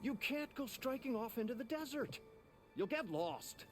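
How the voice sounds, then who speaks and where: An actor playing a blunt, money-minded merchant in a video game who gives dramatic, fretful warnings. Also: male voice, very high-pitched, sing-song, normal speed, slight background noise. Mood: alarmed warning. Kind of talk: video game character acting. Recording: music behind the voice.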